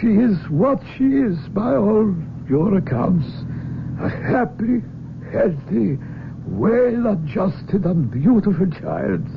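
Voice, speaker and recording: An actor playing an aging, proud Russian research doctor in a radio drama, speaking formally and deliberately with a Russian accent. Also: male voice, high voice, very animated, creaky, full character voice. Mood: wry, tender. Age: older. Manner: formal, deliberate phrasing, searching for words. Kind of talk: 1970s radio drama acting. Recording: noisy, narrow-band old radio recording.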